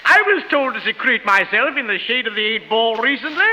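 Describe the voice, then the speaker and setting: An actor playing a fussy, pompous, bewildered British professor with flowery wording, in 1930s radio comedy. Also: male voice, very high voice, lively intonation, fairly quick. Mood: affronted, prim. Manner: pompous, flowery wording, exclaiming in mock dismay. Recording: hissy 1930s radio broadcast.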